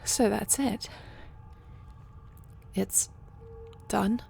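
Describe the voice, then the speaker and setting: A woman playing a resolute, noble dragon-shifter queen in a fantasy audio roleplay, speaking earnestly and formally in a breathy, husky voice. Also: mid-range voice, warm tone, a little hiss or hum, exaggerated voice. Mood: drained, uncertain. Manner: earnest, measured, formal phrasing.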